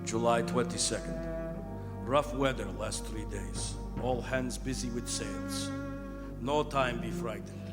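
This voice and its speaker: A man's voice: medium pitch, sing-song, slow pace. A man playing a grave, weary ship's captain, reading log entries in clipped phrases in a radio drama. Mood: solemn, measured. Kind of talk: old-time radio drama acting. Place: performing arts theatre stage. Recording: long hall reverb, loud music behind.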